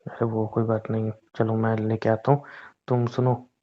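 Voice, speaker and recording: A man's voice: lowish voice, level tone, moderate pace, close to an everyday voice. A young man playing a teasing, boastful newlywed husband in casual, bantering audio-drama dialogue. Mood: teasing, practical. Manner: informal, bantering. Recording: dull lo-fi phone-call style audio.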